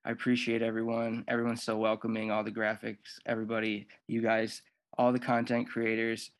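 A man's voice: on the low side, monotone, brisk. A man speaking casually as a guest on a podcast. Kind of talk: casual chat.

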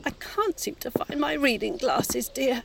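A woman's voice: high voice, expressive, a little slow, gravelly, a little hiss or hum, exaggerated voice. A woman playing a formidable, fussy, genteel dead mother who still rules her son, in an audio play. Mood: imperiously fussy. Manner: fussy, genteel, doting endearments. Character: formidable overbearing mother, returned after her own funeral.

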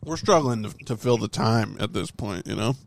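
A man's voice: on the low side, normal speed, vocal fry, a little hiss or hum. A young man hosting a podcast in casual banter, teasing and swearing freely. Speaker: podcast host.

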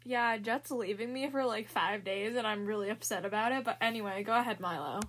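A woman's voice: mid-range voice, fairly quick, a bit bright. A young woman hosting a casual podcast, talking in an enthusiastic, rambling way. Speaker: podcast host.

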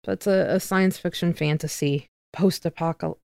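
Low, smooth-voiced woman chatting. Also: fairly even intonation, normal speed, a little mellow, dry recording, studio quality. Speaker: podcast co-host. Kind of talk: casual conversation.

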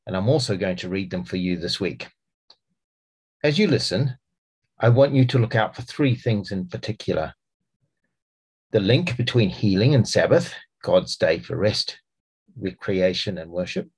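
A man with a New Zealand accent, a church speaker reading scripture aloud for a podcast in a measured, expressive way. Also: on the low side, breathy edge.

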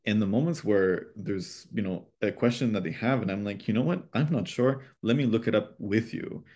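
A young man talking in a relaxed, reflective way as a podcast guest, pausing to think as he explains his views on teaching. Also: on the low side. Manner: reflective, thoughtful, speaks with pauses. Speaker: podcast guest, language educator. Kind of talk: casual conversation.

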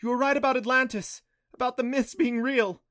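A man's voice: very high-pitched, fairly even intonation, fairly quick, a bit bright, dry recording, studio quality, voice pushed up. A man voicing a level-headed but easily alarmed girl adventurer in a fantasy audiobook, urgent and intense. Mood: calling out. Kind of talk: audiobook character voice for a fantasy story.

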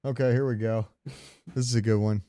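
A man's voice: on the low side, steady tone, fairly quick, mellow, dry recording. A man co-hosting a podcast, chatting casually about games, joking and thinking aloud on a close microphone. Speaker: podcast co-host. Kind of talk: casual gaming chat.

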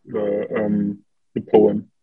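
A man's voice: fairly low pitch, monotone, drawn-out words, phone-quality sound, soft background music. A man chatting bluntly and hesitantly on a video call. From Germany. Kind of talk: casual chat on a video call.

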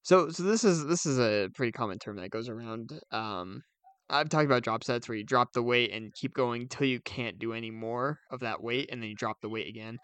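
A man's voice: mid-range voice, brisk, a bit bright, clear, resonant tone, no echo. A man co-hosting a casual podcast, explaining things with enthusiasm. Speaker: podcast co-host.

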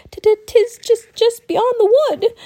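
A woman's voice: very high voice, steady tone, measured pace, warm tone, pitched above the performer's own voice. A woman voicing a timid, frightened village boy in a storybook, stammering nervously.

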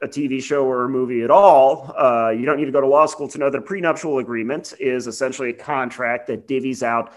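A man with a mid-pitched voice talks as a podcast co-host. He explains legal matters casually and conversationally, slipping in wry asides. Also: steady tone, a little fast, few pauses.

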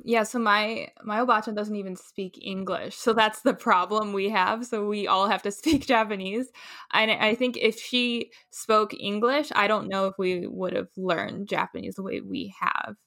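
A young woman chats as a podcast guest, wandering through her thoughts with plenty of fillers. Her voice sits at a middling pitch. The recording is dry, with no room sound. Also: steady tone, moderate pace, vocal fry, a bit bright, no room sound. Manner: rambling, many "like" fillers. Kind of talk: casual conversation.

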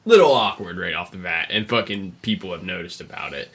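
A man hosting a podcast, explaining video game lore in a casual, rambling, sweary way, with a gravelly, husky voice. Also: low voice, animated, a little fast, a bit bright. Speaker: podcast host.

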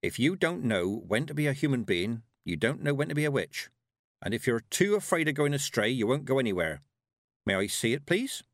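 A man's voice: mid-range voice, big pitch swings, brisk, no echo, clean studio sound, pitched above the performer's own voice. A man voicing a plucky, clever young apprentice witch, an earnest and direct girl in a storybook reading.

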